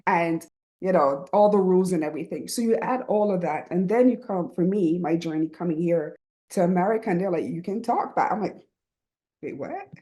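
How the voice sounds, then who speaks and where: A middle-aged Barbadian woman with a lowish, warm and mellow voice. She talks earnestly and reflectively, often ending thoughts with "right?". Her manner is candid and conversational. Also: a little fast. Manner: earnest and reflective, with frequent "right?" and soft laughs. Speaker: guest, writing program founder.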